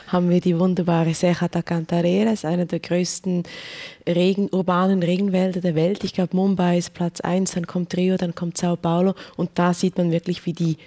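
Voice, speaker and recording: A woman's voice: lowish voice, little pitch movement, normal speed, mellow. A woman giving casual, conversational answers as an interview guest.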